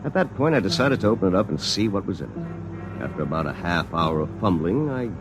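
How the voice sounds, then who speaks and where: A man's voice: low voice, sing-song, fairly quick. A man playing a confident attorney who narrates a 1950s radio drama in a wry, conversational way, over music. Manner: wry, self-assured, conversational. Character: confident successful attorney wryly recounting his past. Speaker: narrator of the story. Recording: vintage 1950s radio broadcast.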